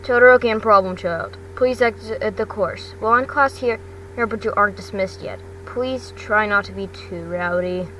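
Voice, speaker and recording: Woman reading as a stern, measured male teacher, slightly distant. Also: mid-range voice, fairly bright tone, slight background noise, natural voice. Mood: firm, controlled. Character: stern, dry male schoolteacher of superhero students.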